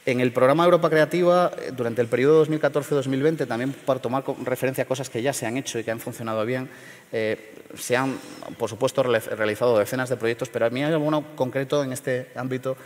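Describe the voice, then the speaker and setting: A man's voice: mid-range voice, fairly even intonation, long reverb tail. Hesitant man on a theater stage. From León, Spain. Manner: measured, hesitant, many fillers and restarts. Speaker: panel speaker. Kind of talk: panel talk at a forum.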